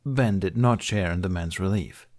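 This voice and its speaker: Low-voiced man reading a story. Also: normal speed. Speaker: audiobook reader. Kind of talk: scripted fiction narration with character voices.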